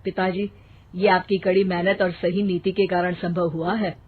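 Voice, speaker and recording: A performer voicing a dutiful, caring elder son in an animated moral story, respectful and earnest. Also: medium pitch, normal speed, pitched below the performer's own voice, natural voice. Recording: thin phone-like sound, faint noise.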